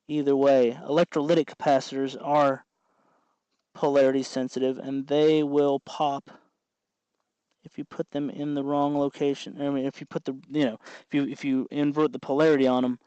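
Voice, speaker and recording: A man with a medium-pitched voice talks through a hands-on task as a tutorial host. His delivery is informal and instructive, as if half talking to himself while he works. The sound is dry, with very little room echo. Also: mellow, smooth, dull audio. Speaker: host of a DIY electronics tutorial.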